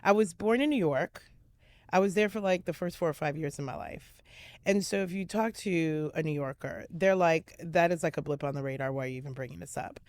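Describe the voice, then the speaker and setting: Animated woman telling stories. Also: low-pitched, brisk, no echo. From Miami, USA. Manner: animated storyteller, lots of likes and restarts. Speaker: interview guest. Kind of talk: casual conversational interview.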